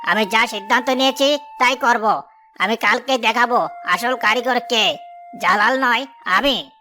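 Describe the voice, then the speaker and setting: Jealous, stubborn cartoon samosa seller played by a woman, indignant, slightly high voice, music clearly behind. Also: unhurried, sharp tone. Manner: indignant, boastful. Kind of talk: animated moral-story cartoon dialogue.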